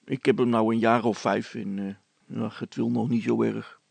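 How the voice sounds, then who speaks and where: A man's voice: fairly low pitch, flat delivery, moderate pace, vocal fry, a little mellow, slightly rough, no echo, exaggerated voice. A man voicing a good-natured, proud village policeman with a folksy, rambling, hesitant way of talking in a comic story. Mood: proud, genial. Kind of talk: character voice in a read-aloud comic story.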